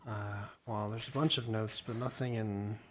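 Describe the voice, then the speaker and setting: A man talking in character during a survival roleplay game, laying out a plan with hesitant pauses. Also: fairly low pitch, fairly even intonation. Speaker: roleplay player.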